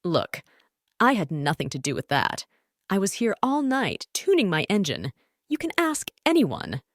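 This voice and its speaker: A woman's voice: medium pitch, wildly swooping pitch, measured pace, no echo, clean studio sound. A woman voicing a flashy male sports car, cocky but defensive, in a children's storybook. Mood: nervous, defensive.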